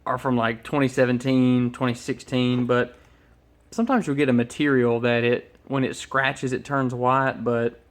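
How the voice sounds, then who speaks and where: Male, mid-pitched voice, informal product host, unscripted and a little hesitant, working things out aloud. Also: level tone, moderate pace. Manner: hesitant, thinking aloud as he goes. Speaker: host of an electronics unboxing channel. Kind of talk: casual unscripted product unboxing commentary. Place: indoors at a workbench.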